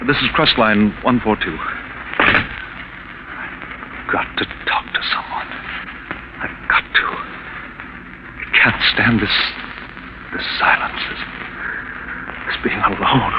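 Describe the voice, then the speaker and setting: An actor playing a panicked, mentally unraveling man in an agitated, stammering 1940s radio thriller style. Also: on the low side. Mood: desperate, anxious. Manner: agitated, stammering, repeating himself. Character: panicked, mentally unraveling man convinced he killed his friend. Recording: scratchy, tinny 1940s radio broadcast.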